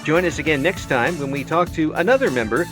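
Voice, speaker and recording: A man hosting a casual interview, chatty and quick to laugh, often trailing off and restarting his sentences. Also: on the high side, lively intonation, music in the background, poor audio. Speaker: interview host.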